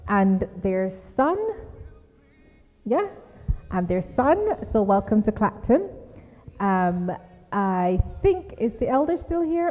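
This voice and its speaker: A woman at a church giving an informal, hesitant welcome to a guest speaker, with many fillers. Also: mid-range voice. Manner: informal, hesitant with fillers, then a soft prayer. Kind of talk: welcome speech and prayer. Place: church hall. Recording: lo-fi phone-like sound in a reverberant church hall.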